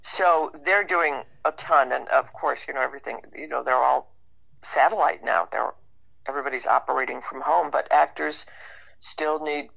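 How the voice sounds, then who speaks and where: A woman's voice: rumbling low, normal speed, gravelly, fairly bright tone. A woman speaking casually and conversationally as a guest in an interview. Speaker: interview guest, actor and writer. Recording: dull band-limited radio call.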